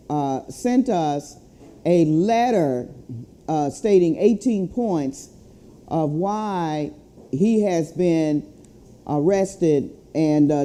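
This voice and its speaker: Dark-voiced woman giving a formal update. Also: sits low. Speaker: civil rights chapter president. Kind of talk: spoken update and reading a letter aloud.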